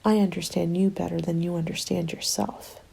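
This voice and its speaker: A woman playing a cynical, scheming apocalypse survivor in an ASMR roleplay, breathy and often whispering, taunting and self-justifying. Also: on the low side, flat delivery, normal speed, mellow, heavily acted. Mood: defensive, accusing. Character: cynical, defiant woman survivor hoarding food, selfishly scheming.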